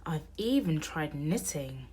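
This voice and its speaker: A woman with a slightly low voice reads a children's picture book aloud. She takes her time over each phrase, and her pitch rises and falls in an animated, storytelling way. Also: slow pace, slight background noise. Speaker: storybook reader.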